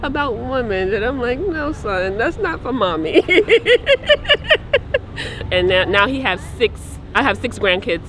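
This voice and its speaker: Lively, bright-voiced woman on the street. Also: on the high side, unhurried, a little hiss or hum. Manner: laughs readily, candid and chatty. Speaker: street interviewee.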